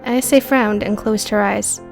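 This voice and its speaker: A woman's voice: mid-range voice, fairly even intonation, slow pace, gravelly, faint music behind. A woman narrating an audiobook story in a scripted, dramatic storytelling style.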